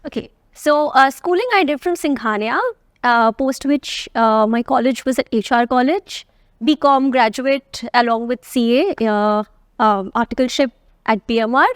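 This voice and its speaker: A woman's voice: fairly high pitch, normal speed, clean tone, no echo, studio quality. A woman from Mumbai with an Indian accent, speaking as a professional guest on a panel interview. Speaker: guest, tax advisory manager.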